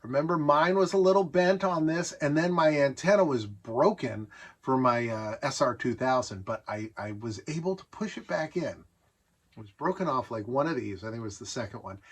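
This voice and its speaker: A man's voice: medium pitch, moderate pace. A middle-aged man with an American accent giving a casual, nostalgic show-and-tell, enthusiastic and conversational with self-amused asides. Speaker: host showing a vintage electronics collection.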